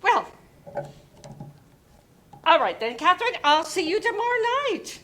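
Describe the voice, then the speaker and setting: A woman playing an emphatic, chatty, teasing neighbour in a play reading, with drawn-out theatrical emphasis. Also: very high voice, sing-song, fairly bright tone, exaggerated voice. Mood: teasing, friendly.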